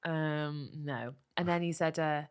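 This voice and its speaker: A woman's voice: low-pitched, slow pace, clean tone. A woman co-hosting a comedy podcast, telling an anecdote in casual chat and acting out the quotes with lots of laughter. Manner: animated storyteller who acts out quotes, laughing often. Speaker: podcast co-host.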